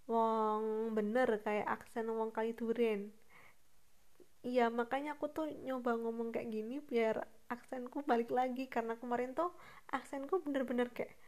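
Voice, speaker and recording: A woman's voice: on the high side, darkish tone. A young woman hosting a spontaneous solo podcast, telling a rambling, chatty story and often correcting herself. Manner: fast-talking. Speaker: podcast host.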